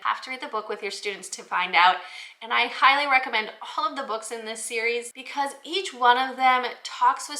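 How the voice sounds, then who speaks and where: A woman's voice: a little high, bright, forceful tone, echoey room. A woman hosting a review channel in an enthusiastic, explanatory way, speaking directly to viewers in an upbeat presenting style. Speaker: host of a children's book review channel. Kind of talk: upbeat scripted vlog presentation to teachers.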